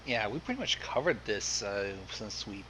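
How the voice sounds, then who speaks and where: A man's voice: on the low side, big pitch swings, normal speed, sharp tone, slight background noise, poor audio. A man bantering on a casual livestream as co-host, melodramatic and exasperated in his complaints.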